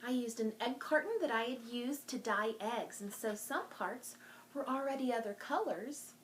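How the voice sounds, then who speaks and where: A woman's voice: on the high side, moderate pace, slight background noise. A woman speaking to young children as a storytime host, in a sing-song, encouraging way, showing and explaining things. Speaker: children's librarian hosting a virtual storytime. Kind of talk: talking to young children in a craft and show-and-tell video.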